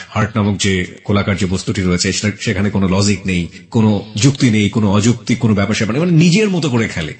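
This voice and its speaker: A man with a Bangladeshi accent works as a radio interview host. His voice is fairly low and he talks very fast. He is emphatic, echoes answers back and slips English phrases into his speech.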